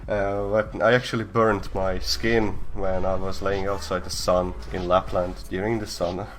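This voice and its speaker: A young man hosts a casual live stream in a lowish voice. He talks haltingly, piling up fillers and restarts. Faint guitar music plays behind him. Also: level tone, fairly bright tone. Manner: hesitant, many fillers and restarts. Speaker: host.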